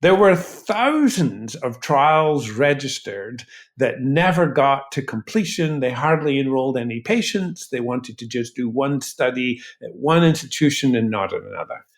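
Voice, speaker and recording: A man speaking as an expert interview guest, explaining in emphatic, articulate, measured phrases. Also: mid-range voice, animated. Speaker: physician researcher, interview guest.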